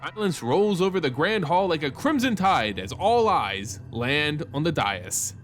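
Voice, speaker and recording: Male fantasy lore narrator: slightly high voice, very expressive, theatrical scripted read over music. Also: measured pace. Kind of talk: dramatic scripted storytelling and narration.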